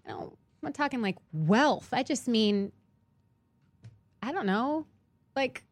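Woman guest, bit higher voice, rambly and frank, some cussing, dry close sound. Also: expressive, slow, no room sound. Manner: candid, rambling, swears. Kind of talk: casual chat.

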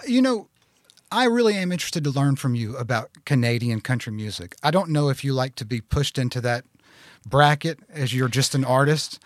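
A man's voice: medium pitch, lively intonation, moderate pace, no room sound, clean studio sound. A man hosting a country music podcast, chatty and joking in a folksy way. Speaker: podcast host. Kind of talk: casual interview chat.

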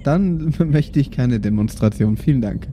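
A man's voice: mid-range voice, expressive, measured pace, mellow, slight background noise, lightly acted. A man playing a wary papa bounty hunter with a dry, hesitant, placating manner in an improvised audio game.

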